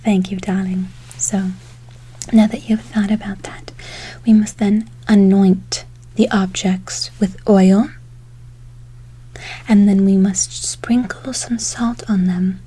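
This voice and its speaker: A woman playing a gentle, doting witch in a whispered ASMR role play, speaking affectionately and unhurriedly, often repeating herself. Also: medium pitch, fairly even intonation, slow pace, slightly rough, low-quality recording. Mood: gentle, encouraging. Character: gentle, doting female witch casting good-luck spells. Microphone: close ASMR microphone.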